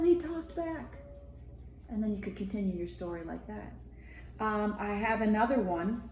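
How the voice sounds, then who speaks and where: A woman's voice: medium pitch, a little mellow. American woman teaching kids, echoey room. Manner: informal, explanatory, addresses children directly. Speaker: school media specialist. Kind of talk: read-aloud lesson for children, casual. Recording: roomy echo with background noise.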